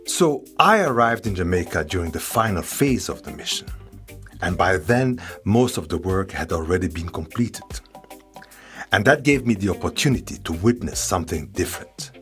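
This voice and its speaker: A man narrating a documentary in a measured, reflective scripted way. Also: deep voice, animated, a bit bright, soft background music.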